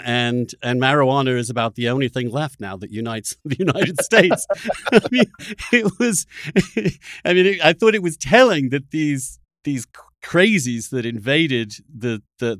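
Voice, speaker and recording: Man in halting, skeptical political conversation. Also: mid-range voice, fairly bright tone, no echo, studio quality. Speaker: podcast host.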